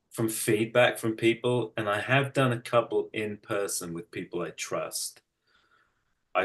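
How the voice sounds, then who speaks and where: A middle-aged man with a British accent and a fairly low voice. He explains himself casually, as a guest in conversation, sounding practical, matter-of-fact and a little self-deprecating. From United Kingdom. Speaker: interview guest, energy practitioner and massage therapist.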